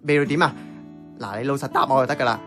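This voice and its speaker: A man's voice: medium pitch, fast, fairly bright tone, soft background music, natural voice. An actor with a Hong Kong Cantonese accent playing a casual, blunt young man in radio drama dialogue. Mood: pestering.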